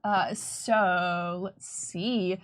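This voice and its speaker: A woman's voice: fairly low pitch, fairly even intonation, very slow, dry recording. A woman presenting a livestream as a game developer, chatting casually in a playful, teasing way.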